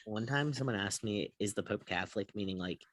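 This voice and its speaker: A man with a very low voice, recorded dry and close, chats on a sports panel. His talk is informal and a little self-deprecating, loosely phrased like a conversation among friends. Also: fairly quick, fairly bright tone, no echo, low-quality recording. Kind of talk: casual chat.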